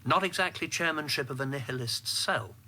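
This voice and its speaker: An actor playing a guarded, reflective middle-aged government insider, measured and drily ironic, in a radio drama. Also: male voice, on the high side, sharp tone. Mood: guarded, dry. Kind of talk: radio drama narration and dialogue.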